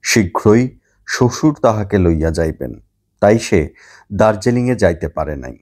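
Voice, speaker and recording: A man narrating a literary story as an audiobook, in a measured, deliberate manner. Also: fairly low pitch, lively intonation, a little mellow.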